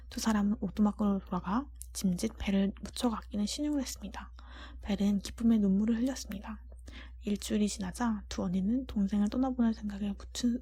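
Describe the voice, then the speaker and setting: A woman reading a storybook aloud as ASMR narration in a breathy whisper. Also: medium pitch, mellow.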